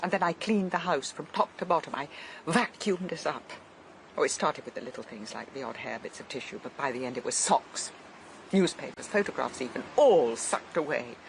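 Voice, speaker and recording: An actress playing a frail, loving elderly woman near death in a radio drama, speaking in soft, halting, tender phrases. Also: low voice, expressive, slight background noise, poor audio. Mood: rueful. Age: older. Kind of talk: BBC radio drama acting.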